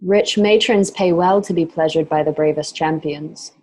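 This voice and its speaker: A woman playing an anguished, proud Roman noblewoman in an amateur radio drama, with a husky voice. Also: deep voice, normal speed, darkish tone, slight background noise, close to an everyday voice, low-quality recording. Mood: pleading.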